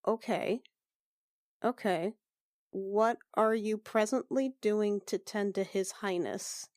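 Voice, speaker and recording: A woman's voice: medium pitch, takes it slowly, darkish tone, no room sound, studio quality, close to an everyday voice. Woman playing a flustered, exasperated fantasy medic. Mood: probing. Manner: stammering, repeating herself, probing. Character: brisk, worried female medic noblewoman, exasperated.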